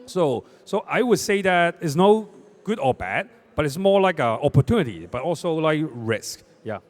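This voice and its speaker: Guy on a panel, kinda high voice, bounces all over, keeps saying right?. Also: normal speed. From Hong Kong. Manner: animated, tags statements with "right?". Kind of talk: panel discussion. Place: conference stage.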